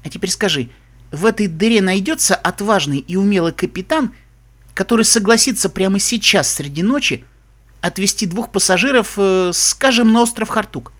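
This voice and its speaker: A man's voice: sits high, animated, moderate pace, fairly bright tone. A man voicing a confident, courteous female pony sorceress in an audiobook, polite and wry. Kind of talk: audiobook character voice.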